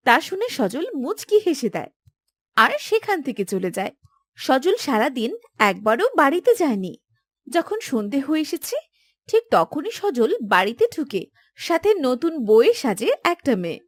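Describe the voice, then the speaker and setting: A woman narrator tells a scripted fairy tale. Her voice is high and expressive in pitch, and the sound is close and dry. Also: moderate pace, no echo.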